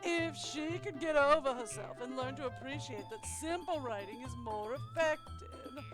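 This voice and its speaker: Woman acting brash high-pitched teenage girl, hot-tempered. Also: moderate pace, close to an everyday voice. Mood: indignant. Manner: combative, blunt. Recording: loud music behind the voice.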